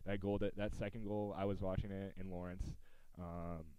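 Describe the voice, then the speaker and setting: A man's voice: sits low, flat delivery, moderate pace, dark tone, smooth, dry recording, rough recording. An American man hosting a podcast, talking sports in a casual, conversational style. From United States.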